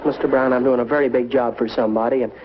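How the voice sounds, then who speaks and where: A man's voice: medium pitch, fairly even intonation, racing, a faint crowd, natural voice. A young man playing a hesitant, bumbling everyman in a sitcom. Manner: hesitant, bumbling, lots of uhs. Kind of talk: 1950s sitcom acting. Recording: lo-fi vintage TV audio, noisy.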